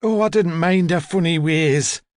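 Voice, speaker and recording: A man voicing a haughty, demanding old woman with a hoarse, rasping voice, in an audiobook story. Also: on the high side, unhurried, no room sound, studio quality, voice pushed up, lightly acted. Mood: opinionated, dismissive. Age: older. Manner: demanding, curt, imperious. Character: sharp, haughty, opinionated old woman, large and deaf.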